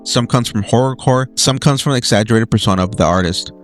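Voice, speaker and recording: A man's voice: mid-range voice, fast, soft background music. A man delivering scripted video essay narration as a documentary narrator, with emphatic lists and dramatic short phrases.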